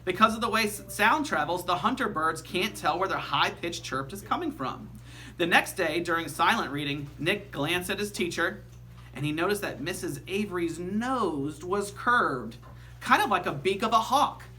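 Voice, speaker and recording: A man reading a children's story aloud like a teacher, with animated, emphatic storytelling. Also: high voice, normal speed, a little hiss or hum.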